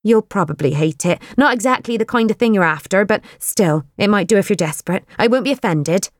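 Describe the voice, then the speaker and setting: A woman's voice: medium pitch, animated, dry recording, studio quality, lightly acted. A woman voicing a chatty, kindly older woman coworker who fusses in a motherly way. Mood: fussing. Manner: brisk, motherly, fussing.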